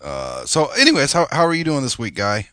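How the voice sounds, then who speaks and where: A podcast host with a worn, strained voice chats casually, with fillers and restarts, into a lower-grade microphone. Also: male voice, a little fast, no echo, studio quality. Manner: relaxed, chatty, with fillers and restarts. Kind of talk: casual tech podcast chat. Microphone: lower-grade home mic.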